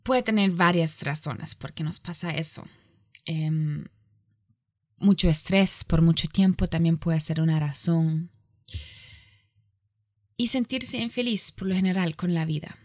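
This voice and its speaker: A woman lecturing in a language that is not her first, halting and often correcting herself mid-sentence. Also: on the low side, darkish tone, dry recording, studio quality. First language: not Spanish. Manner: halting, self-correcting, with frequent pauses. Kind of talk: online lecture.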